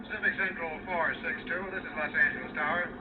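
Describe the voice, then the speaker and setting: An actor playing a clipped, businesslike airport tower controller in an old-time radio drama. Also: male voice, on the high side, quick pace, natural voice. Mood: official, brisk. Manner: clipped, official. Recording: muffled, noisy old radio broadcast.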